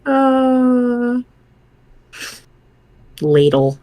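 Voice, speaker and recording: A woman's voice: on the high side, sing-song, very slow, dark tone. A woman bantering casually as a co-reader in an amateur reading.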